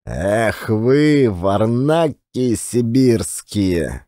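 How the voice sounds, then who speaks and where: A man voicing a surly, contemptuous old billiard marker who grumbles in a drawn-out monotone. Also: on the low side, dry recording, clean studio sound, heavily acted. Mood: bitter, scornful. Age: older.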